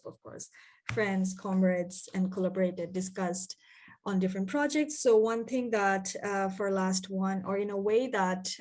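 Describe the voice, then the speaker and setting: A woman's voice: mid-range voice, darkish tone. A young woman from Bangladesh, a climate activist, answering interview questions thoughtfully with many fillers and restarts.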